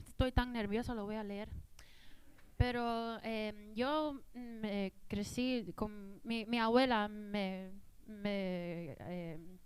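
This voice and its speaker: Halting bilingual woman comic, clear voice. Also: medium pitch, no room sound. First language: English. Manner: hesitant, halting Spanish with fillers. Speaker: stand-up comedy host. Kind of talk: stand-up comedy. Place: small theater stage.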